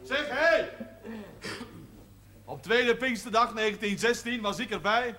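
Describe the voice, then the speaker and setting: Indignant proud village man: high pitch, bright and tense, assertive television drama delivery. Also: measured pace, exaggerated voice.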